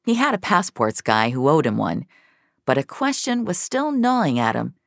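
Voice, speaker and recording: A woman reads scripted audio fiction as its narrator. Her voice sits extremely low and has plenty of creak. The sound is dry and close, with no room on it. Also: very animated, moderate pace, no room sound.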